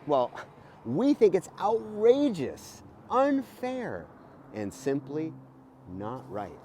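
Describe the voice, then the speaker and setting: A middle-aged American man, a teacher reading aloud to children with an expressive, emphatic delivery and stretched key words, recorded outdoors at close range. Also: a little high, slow pace, slight background noise. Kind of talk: animated children's read-aloud with asides. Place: outdoors beside a house in a garden.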